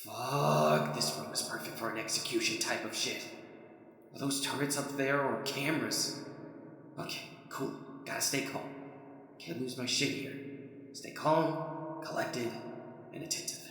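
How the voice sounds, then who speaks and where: A man's voice: medium pitch, lively intonation, measured pace, long pauses. A man playing a sarcastic, jittery, foul-mouthed captive in an audiobook, with hesitant, wisecracking delivery. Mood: anxious muttering. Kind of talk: audiobook character acting. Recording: echoey hall reverb, loud music behind. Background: music.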